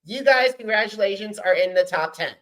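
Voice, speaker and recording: A man's voice: high-pitched, a little fast, bright, forceful tone, low-quality recording. A man hosting a podcast interview, talking like an enthusiastic fan, in bursts with many restarts. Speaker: podcast host. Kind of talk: casual interview chat.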